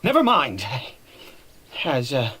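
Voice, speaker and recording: An actor playing an ancient cursed nobleman in a video game, frail, desperate and unstable, speaking theatrically and haltingly with erratic swings. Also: mid-range voice, huge pitch swings, sharp tone, husky, full character voice. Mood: apologetic, frail. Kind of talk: dramatic video game voice acting. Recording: game audio with faint music behind.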